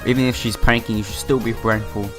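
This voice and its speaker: A man's voice: fairly low pitch, steady tone, quick pace, a bit bright. Man doing a casual game playthrough over music. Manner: stumbles over words and reacts aloud to what is read. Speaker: gaming YouTuber. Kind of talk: visual novel playthrough, reading text aloud with casual commentary.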